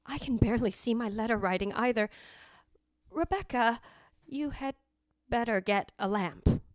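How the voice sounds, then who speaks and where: An actress playing a tense, imperious sister in an old-time radio style ghost drama. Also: female voice, a little high, normal speed, no echo, lightly acted. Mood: clipped. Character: Caroline, tense, imperious sister hiding dread.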